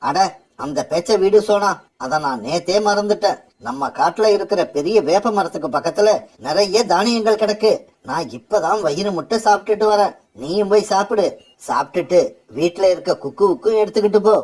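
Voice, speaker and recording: A scheming father bird from a children's cartoon, played by a man. His voice is high-pitched for a male, with a sly, boastful edge that suits a cunning villain. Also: a little fast, a little mellow, breathy edge, poor audio. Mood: falsely friendly. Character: cunning, murderous father bird plotting revenge. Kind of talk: children's cartoon story acting.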